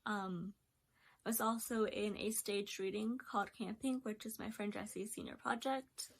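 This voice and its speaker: A young woman in her twenties chats through her recent years in a loose, unscripted way. She meanders from one thought to the next and keeps filling the gaps with um and like. Her pitch sits in an ordinary middle range for a woman. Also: steady tone, measured pace, creaky. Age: 20s. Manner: rambling, many ums and likes. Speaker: vlogger. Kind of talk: casual personal recap.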